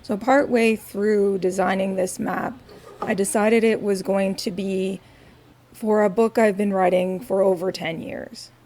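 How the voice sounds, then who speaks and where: A woman with a raspy voice, talking softly and unhurriedly through a quiet crafting vlog in her home studio, with wry asides. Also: mid-range voice, fairly even intonation, measured pace. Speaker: crafting vlogger.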